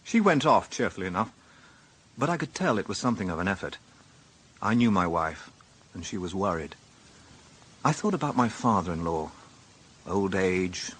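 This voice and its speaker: Dogged British detective, low husky voice, lively intonation, dry sardonic radio-drama delivery. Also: male voice, heavily acted. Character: wry, dogged male police inspector narrating his case. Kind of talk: radio drama narration and dialogue.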